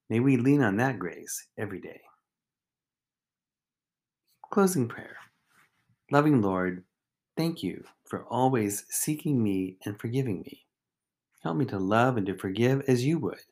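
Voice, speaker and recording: A man reading a scripted daily devotional as a deacon and host, phrasing it deliberately in short, measured units. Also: lowish voice, a little mellow. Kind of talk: scripted devotional reflection, read aloud.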